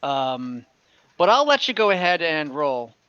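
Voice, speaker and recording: A man narrating an online tabletop game as game master, improvising in a halting way with pauses mid-sentence. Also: a little high, big pitch swings, bright, forceful tone, clear, resonant tone, dry recording, dull audio. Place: indoors, online voice call.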